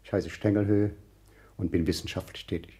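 An actor playing a prim, suspicious scientific gentleman in a 1960s German radio play, clipped, pedantic and demanding. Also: sits low, steady tone, fairly quick, close to an everyday voice.

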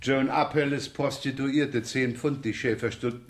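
A man's voice: mid-range voice, fairly even intonation, measured pace, lightly acted. A man playing a jaded, teasing police inspector in a radio crime drama, muttering casual sarcastic asides. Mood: casual, needling. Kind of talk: 1970s German radio crime drama acting. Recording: echoey room, clear background noise.

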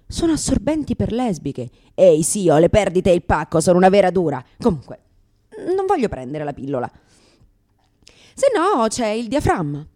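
A woman plays a brash, neurotic comedian in a radio monologue. Her delivery is theatrical and very animated, swooping around a medium pitch. She is sardonic and crude, mocking herself as much as anyone.

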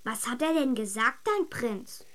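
A woman playing a schoolgirl big sister in a German radio drama, bossy, secretive, brisk and childlike. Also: fairly high pitch, lively intonation, a bit bright. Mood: defiant, annoyed.

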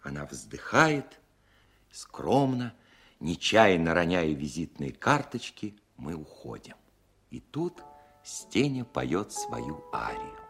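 An actor playing a grown composer who recalls his childhood opera in a radio play, narrating in a wry, expressive way. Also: deep voice, slow pace, exaggerated voice. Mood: amused recounting. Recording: 1970s studio record with prominent music.

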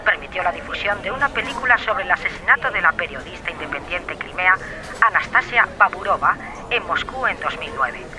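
A man's voice: high-pitched, animated, a little fast, poor audio. A man playing a formal news announcer reading a political bulletin in an audio drama.

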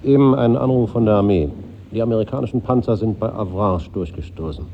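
Wartime colonel, male actor, fairly deep voice, controlled and resolute, vintage radio drama, faint noise. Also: brisk, close to an everyday voice. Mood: grave, resolute. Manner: clipped, controlled. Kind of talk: 1950s German radio drama acting. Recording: dull old radio recording, slight noise.

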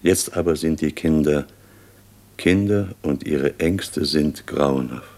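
Disillusioned thinker, extremely low voice, sombre and halting, radio drama. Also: male voice, vocal fry, a little mellow, exaggerated voice. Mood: resigned, sombre. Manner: thoughtful, resigned, halting. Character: sombre, disillusioned man quietly reflecting on Russia's fate. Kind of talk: German radio play dialogue.

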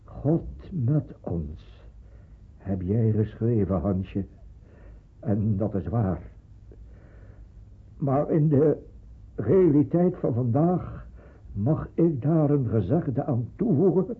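An actor playing a grave, resolute father addressing his family in a radio drama, measured, solemn and husky. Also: medium pitch, expressive, exaggerated voice. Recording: muffled narrow-band radio recording.

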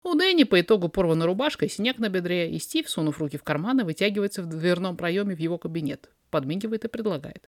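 A narrator reading a fiction story aloud in an expressive, dramatized way. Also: medium pitch, quick pace, dry recording, clean studio sound. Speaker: podfic reader, narrator.

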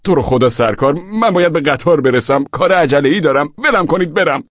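A man voicing a hurried, desperate traveller who begs urgently in a narrated story. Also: on the high side, expressive, pitched above the performer's own voice. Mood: desperate pleading. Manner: pleading, urgent. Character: desperate hurried traveller begging officials to release him. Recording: roomy echo, dull lo-fi audio.

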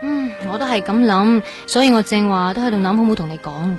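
An actress playing a gentle, hesitant young woman recovering from surgery in a Cantonese radio drama, with a Hong Kong accent. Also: medium pitch, expressive, fast, soft background music, close to an everyday voice. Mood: hesitant, puzzled. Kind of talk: Cantonese radio drama acting, naturalistic dialogue.

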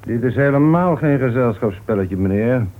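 A man playing a composed police inspector in a radio play, courteous and unhurried as he insists on answers. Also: mid-range voice, lively intonation, natural voice. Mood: calm, firm. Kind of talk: Dutch radio play acting. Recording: noisy, phone-like old radio recording.

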